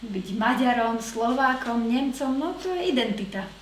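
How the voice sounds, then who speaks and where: This is a woman interpreting a lecture phrase by phrase, in a measured, slightly hesitant way with fillers. Her voice is somewhat high for a woman. The room echoes, with a faint hiss of noise behind her. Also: lively intonation. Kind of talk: consecutive interpreting.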